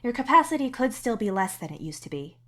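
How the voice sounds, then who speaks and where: Kindly alien doctor played by a woman, measured, professional, gently cautioning audio-story character. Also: on the high side, very animated, voice pushed up. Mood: reassuring, light.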